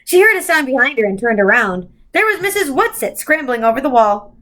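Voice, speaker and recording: Female storyteller for a kids' novel read-aloud: high voice, very lively intonation, expressive delivery. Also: a little fast. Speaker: volunteer storyteller reading to children.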